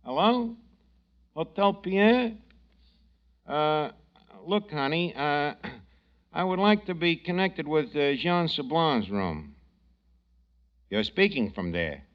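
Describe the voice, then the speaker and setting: An actor playing a brash, slangy, scheming tavern manager in a 1940s radio comedy. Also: male voice, a little high, animated, slow pace, long pauses. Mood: fast-talking, sly. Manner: slangy, ungrammatical, mangles words. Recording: dull vintage radio broadcast recording.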